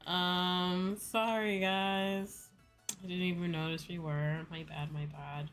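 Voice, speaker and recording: A woman with a low voice talks to her livestream audience over faint music. She rambles along in a very casual, chatty way, leaning on filler words and dropping in the odd swear. It sounds like an unscripted catch-up with regular viewers. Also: flat delivery, takes it slowly, fairly bright tone. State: sleepy. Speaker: VTuber livestreamer; school teacher. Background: music behind the voice.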